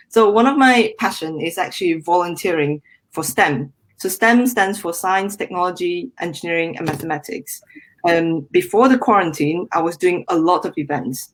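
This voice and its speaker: A young woman from Malaysia, speaking as a guest in a podcast interview. Her voice sits a little low for a woman. She explains in a friendly way, often pausing on "um" and repeating words as she goes. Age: young adult. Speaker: guest engineer.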